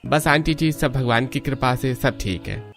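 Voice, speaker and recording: A man's voice: mid-range voice, measured pace, vocal fry, fairly bright tone. A man voicing a polite, overworked young neighbor in a cartoon story. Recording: music under the voice.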